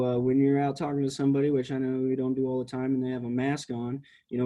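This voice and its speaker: A man's voice: medium pitch, mellow. A man who runs a college prep program for blind students, speaking earnestly in a webinar over a video call, with many "you know"s. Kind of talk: conversational webinar discussion.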